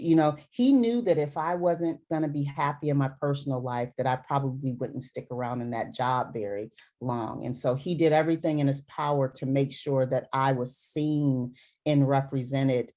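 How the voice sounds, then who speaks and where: Low, mellow-voiced woman speaking earnestly. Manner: earnest, emphatic, repeats for stress. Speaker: dermatologist speaker. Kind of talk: conversational Q&A talk.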